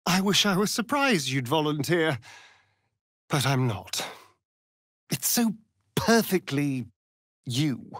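A man's voice: a little high, big pitch swings, slow pace, pauses often, fairly bright tone, no echo, clean studio sound, heavily acted. A male actor voicing a sly, vain vampire rogue in a fantasy video game, with an arch, flamboyant, sardonic drawl. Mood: mocking.

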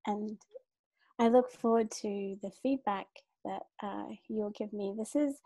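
A woman from Sri Lanka giving a measured, formal academic lecture from home over a video call, with brief fillers and restarts. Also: mid-range voice, mellow, no echo. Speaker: keynote lecturer, art historian. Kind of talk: academic lecture read from a script. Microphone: computer mic on a video call.

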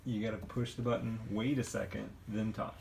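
A man's voice: on the low side, little pitch movement, a little fast, vocal fry, darkish tone. A middle-aged man chatting casually at home, patiently giving instructions like a parent. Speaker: parent coaching children. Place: living room at home, indoors. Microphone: behind a handheld phone camera. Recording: some room noise, home phone video.

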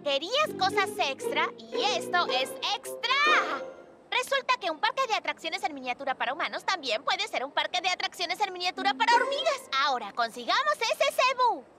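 A woman's voice: extremely high pitch, very animated, moderate pace, over loud music. A woman voicing an upbeat, encouraging young cartoon girl with a bubbly, reassuring manner. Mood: cheerful. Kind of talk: Spanish-dubbed cartoon voice acting.

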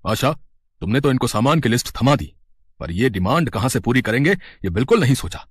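A man's voice: mid-range voice, big pitch swings, fairly quick, slightly rough, no echo, clean studio sound. A man voicing a fair-minded middle-aged father-in-law, earnest and admonishing, in a family story.

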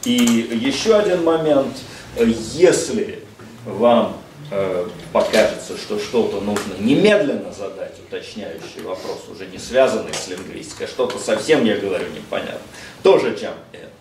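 Low-voiced man lecturing in an echoey room. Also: lively intonation. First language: Russian. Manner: measured, frequent "э" hesitations, mixes in English phrases. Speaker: visiting lecturer. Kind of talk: seminar lecture. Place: seminar room, seated at table before a projected slide. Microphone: distant room mic, speaker seated across the room. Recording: echoey room, speaker far from mic, faint hiss.

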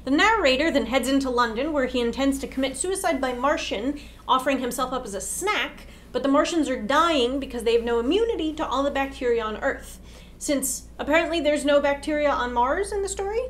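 A woman's voice: sits high, brisk, slight background noise. A young woman co-hosting a scripted explainer, reading out narration as a presenter. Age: young adult. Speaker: co-host.

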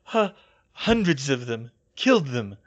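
A man voicing a traumatised young male curse breaker in an audiobook, rasping, gasping and stammering. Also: medium pitch, big pitch swings, measured pace, dull audio. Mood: stunned. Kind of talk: audiobook character voice.

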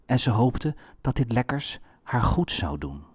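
A man narrating a fairy tale from a script in a measured storybook manner. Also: medium pitch, big pitch swings, husky.

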